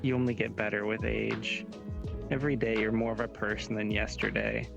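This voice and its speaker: A man chatting calmly on a livestream, gently philosophical and teasing. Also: on the low side, brisk, creaky, over music. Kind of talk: casual livestream chat.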